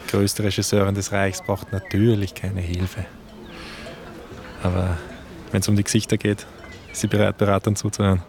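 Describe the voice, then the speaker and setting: A man's voice: lowish voice, level tone, normal speed, darkish tone, slight background noise, lightly acted. An actor playing a young, chatty male camera assistant from Vienna, speaking with a Viennese accent and dry irony. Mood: sardonic. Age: young adult. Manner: dry, ironic remarks. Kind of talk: radio drama acting.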